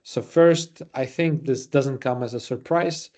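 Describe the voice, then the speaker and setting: A man with a Latvian accent hosts an online presentation. His voice is dark and relaxed, at an average pitch. He speaks informally, pausing often as he goes. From Riga, Latvia. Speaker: webinar host and presenter. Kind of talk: semi-scripted webinar presentation.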